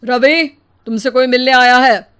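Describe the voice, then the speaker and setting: Woman doing a commanding police officer, brusque, authoritative, high-pitched, cartoon story dialogue. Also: steady tone, fairly bright tone, clear, resonant tone. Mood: stern. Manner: brisk, authoritative.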